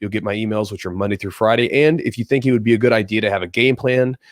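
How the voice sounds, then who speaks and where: A man's voice: on the low side, fast talker, no room sound, clean studio sound. A man hosting a podcast in a casual, rambling, off-the-cuff way, with a slightly congested, nasal voice that often repeats itself. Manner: rambling, conversational, repeats himself. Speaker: podcast host.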